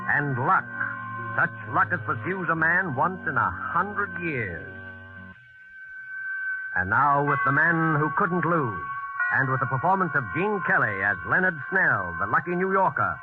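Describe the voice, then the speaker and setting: An ominous male host introducing tales of suspense. His voice is a little high, formal and dramatic, with deliberate phrasing. Loud music plays behind him on a thin, tinny 1940s radio recording. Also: big pitch swings. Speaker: announcer and host. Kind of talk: 1940s radio scripted narration.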